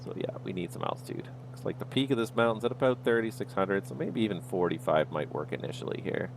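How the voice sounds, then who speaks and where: Low gravelly man calmly talking through a flight. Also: fast, a little hiss or hum. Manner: easygoing, talks himself through each step. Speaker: flight sim streamer. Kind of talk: livestream commentary, thinking aloud.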